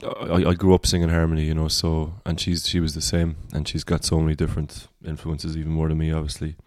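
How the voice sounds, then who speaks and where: A singer-songwriter from Cork with a Cork Irish accent and a baritone voice, speaking as a guest in a relaxed podcast conversation and thinking aloud as he talks. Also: fast talker, dry recording, clean studio sound. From Cork, Ireland. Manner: reflective, rambling.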